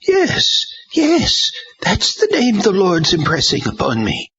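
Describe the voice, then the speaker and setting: A man's voice: high voice, huge pitch swings, slow, bright, forceful tone, slightly rough, pitched above the performer's own voice, exaggerated voice. A man doing an old woman, fervent and stubborn. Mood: urgent. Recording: dull lo-fi recording.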